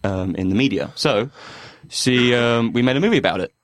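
A man's voice: medium pitch, normal speed. A young man in his twenties, a university improv student, chatting as a podcast guest in a rambling, laughing way. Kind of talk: casual chat.

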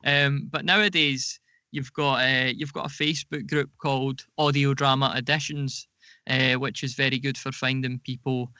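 A man giving casual, explanatory interview answers as a podcast guest, speaking in a measured way with some hesitation. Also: mid-range voice, sharp tone, no echo, dull audio. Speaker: podcast guest, audio drama producer.

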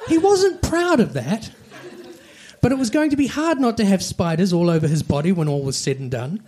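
A man's voice: high-pitched, very animated, moderate pace. A man reading comic fiction aloud like a storybook, with dramatic delivery. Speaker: reader of comic fan fiction. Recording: faint background noise and clicks.

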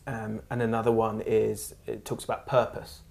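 Gravelly-voiced man hosting an interview. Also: on the low side, completely flat delivery, moderate pace, a little mellow. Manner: encouraging, asks open questions. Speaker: podcast host. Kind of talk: interview conversation.

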